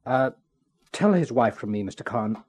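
A man's voice: medium pitch, animated, moderate pace, a little mellow, slightly rough. An actor playing an earnest, mournful man in a 1950s radio drama. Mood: solemn. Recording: old 1950s radio recording.

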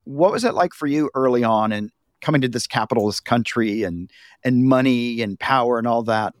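A middle-aged man hosts a podcast in a relaxed, conversational way, laughing easily and slipping into quoted voices. His mid-range voice sits close to the mic in a dry, dead room. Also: mid-range voice, normal speed, dry recording. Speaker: podcast host. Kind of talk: podcast interview.

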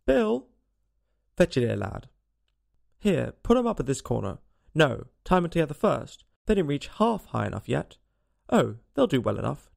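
Storybook workmen, all played by a man: brusque, reluctant, bickering, higher-pitched and animated. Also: vocal fry, full character voice. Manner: brusque, shouting instructions. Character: squabbling crew of folksy workers, bossy and reluctant.

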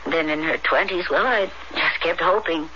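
A fretful middle-aged mother, confides earnestly in a piercingly bright voice. She is theatrical and resigned, on a muffled old radio drama recording with faint noise. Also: deep voice, normal speed. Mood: worried, frank.

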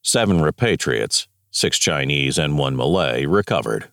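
A man's voice: rumbling low, sing-song, measured pace, gravelly, a bit bright, no echo, studio quality. A man formally reading out a scripted military dispatch. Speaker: reader of wartime military dispatches.

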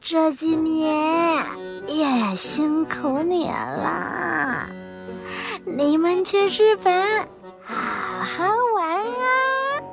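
A woman's voice: very high-pitched, expressive, extremely slow, heavily acted. A woman voicing a kindly, retired old witch grandmother in a children's audio story, sighing and grumbling like an old lady. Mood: cheerful. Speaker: voice actor. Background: children's music.

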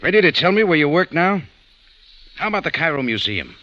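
A wry, hard-boiled adventurer. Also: male voice, fairly high pitch, big pitch swings, fairly quick, pushed and bright, no echo. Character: tough male café owner and adventurer. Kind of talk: 1940s radio acting. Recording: dull old radio recording with faint noise.

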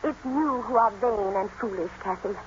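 A woman's voice: mid-range voice, normal speed, slight background noise, close to an everyday voice. An actress playing a defiant, impassioned young lady in love, haughty and heated, in old radio drama style. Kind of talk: 1940s-50s radio drama acting. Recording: muffled old radio broadcast.

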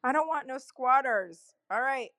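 Higher-pitched woman hosting, informal and direct. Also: expressive, moderate pace, sharp tone, no echo, studio quality. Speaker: host, room moderator. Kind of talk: casual live moderating.

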